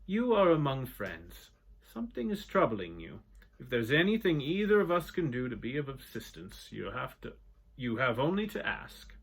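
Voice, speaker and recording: A man voicing a kindly, formal old man who speaks with gentle courtesy. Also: medium pitch, sing-song, normal speed, vocal fry, husky, exaggerated voice. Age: older.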